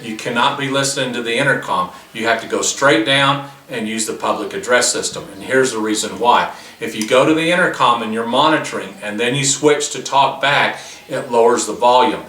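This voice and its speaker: A man walks through a product demonstration, explaining each control step by step in a bright, forceful voice. He sounds a little off the mic, in a roomy, echoing space with a faint hiss underneath. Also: medium pitch, far from the mic. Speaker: product presenter.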